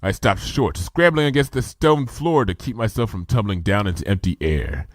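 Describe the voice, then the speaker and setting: A man's voice: lowish voice, animated, moderate pace, dry recording, studio quality. A man reading a comedy adventure story aloud with vivid first-person action narration. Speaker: fanfiction reader.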